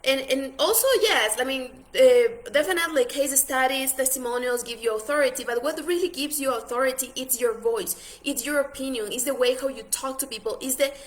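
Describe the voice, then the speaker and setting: Ecuadorian woman, coaching a business class, highish voice, earnest, lots of "you know" restarts. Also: fairly quick, few pauses. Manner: earnest, many restarts and fillers. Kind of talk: online masterclass talk.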